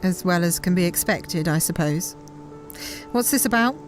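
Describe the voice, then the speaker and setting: A woman playing an anguished, fragile middle-aged mother in an audio drama, halting and emotional. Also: lowish voice, expressive, music in the background, lightly acted. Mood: fragile, defensive.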